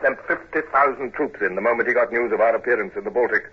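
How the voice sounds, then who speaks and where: An actor playing a serious, brooding British naval commodore, earnest and formal, in a 1950s radio drama. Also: male voice, mid-range voice, fast talker, slightly rough. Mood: formal, urgent. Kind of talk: 1950s radio drama acting and first-person narration. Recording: noisy, dull old radio recording.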